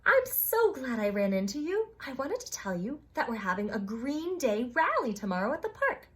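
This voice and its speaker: A woman's voice: high voice, very animated, normal speed. A woman voicing a friendly, enthusiastic museum director in a children's storybook, cheerful and welcoming. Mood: delighted.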